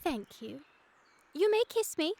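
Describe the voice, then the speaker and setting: A sweet but prim young Victorian lady, easily indignant. Her voice is very high, with theatrical, careful diction suited to a radio comedy. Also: sing-song, slow pace, vocal fry, background noise, heavily acted. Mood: wounded, dramatic. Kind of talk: scripted radio comedy acting.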